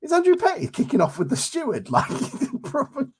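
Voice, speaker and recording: A man's voice: extremely high pitch, huge pitch swings, brisk. An English man co-hosting a football podcast, chatting casually with blunt, jokey banter. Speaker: podcast co-host. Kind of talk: casual chat.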